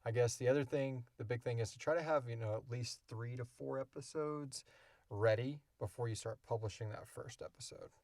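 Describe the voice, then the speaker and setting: A man hosts a podcast in a casual, conversational style. His voice sits at an average pitch and he rambles informally through his answers. The sound is dry, with little room in it. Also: fairly quick, a little mellow, no echo. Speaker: podcast host and audio drama creator. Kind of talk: casual chat answering listener questions.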